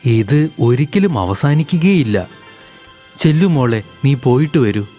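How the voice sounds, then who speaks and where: An earnest young cartoon laborer, plain mid voice, light music behind. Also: male voice, mid-range voice, expressive, a little slow, mellow. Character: young male village laborer, hardworking, caring.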